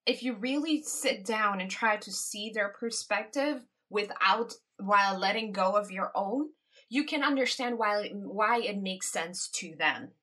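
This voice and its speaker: Slow-talking bright-voiced woman podcaster. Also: mid-range voice. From Caribbean. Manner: reflective, laughs between points. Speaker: podcast host. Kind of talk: casual solo podcast monologue.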